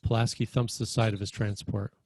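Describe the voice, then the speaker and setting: Deep, mellow man reading stage directions. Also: level tone, brisk, dry recording. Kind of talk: scripted screenplay narration at a table read.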